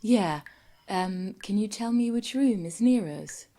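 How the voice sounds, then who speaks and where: A woman's voice: medium pitch, animated, slow pace, background noise. An actress playing a polite, hesitant young woman in an audio drama.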